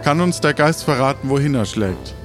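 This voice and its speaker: A man's voice: medium pitch, animated, normal speed, over music. A man acting as game master, improvising the narration of an audio adventure game and answering a player.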